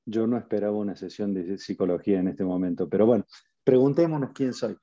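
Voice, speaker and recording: A man's voice: lowish voice, fast, mellow. A middle-aged man from Argentina with an Argentine Spanish accent, a podcast guest speaking in a wry, self-deprecating way. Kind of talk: casual interview chat. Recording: dry, muffled audio.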